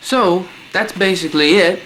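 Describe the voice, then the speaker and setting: A man's voice: high voice, moderate pace. A man giving a casual hands-on tutorial, informally talking through each step as he works. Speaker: presenter of a DIY build tutorial. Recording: noisy amateur home recording.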